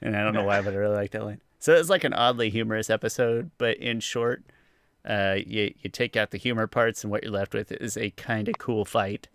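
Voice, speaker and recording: Male podcast co-host, lowish gravelly voice, dry sound, casual rambling review chat. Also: fairly quick, fairly bright tone, no room sound.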